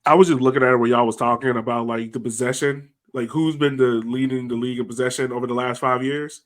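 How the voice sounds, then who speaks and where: A man's voice: mid-range voice, level tone, fast. A man co-hosting a soccer fan podcast, reading off statistics with a laugh in his voice. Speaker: podcast co-host. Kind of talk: casual chat.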